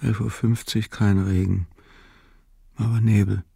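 A male radio-play narrator reads literary text very slowly and matter-of-factly. His voice is fairly low and heavy with creak. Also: little pitch movement, warm tone, no room sound, studio quality.

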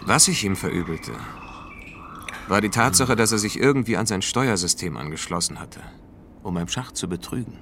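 An actor playing a world-weary space traveller, narrating a radio drama in a dry, reflective, wry way. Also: male voice, fairly low pitch, animated, moderate pace, noisy background, lightly acted. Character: world-weary male space traveller looking back on his journey.

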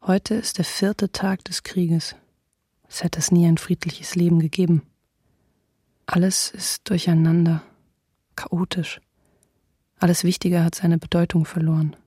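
A bleak young woman reads her war diary in a radio drama. The voice is low, dark and breathy, hushed and resigned, with very little melody. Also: little pitch movement, measured pace, pauses often, no echo, clean studio sound. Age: 20s. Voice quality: husky, breathy. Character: bleak, resigned female graphic artist of twenty-eight, diarist.

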